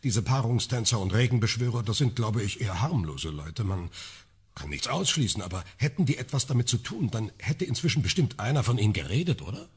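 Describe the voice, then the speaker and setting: Very husky, sardonic investigator. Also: male voice, lowish voice, sing-song, a little mellow, exaggerated voice. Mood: pensive. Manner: wry, ornate, deliberate. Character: sardonic, erudite man leading a private investigation. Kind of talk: naturalistic radio crime drama acting.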